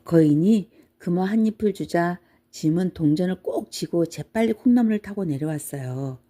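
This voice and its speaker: A woman narrating a children's bedtime storybook in a measured storytelling manner. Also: on the low side, animated, dark tone.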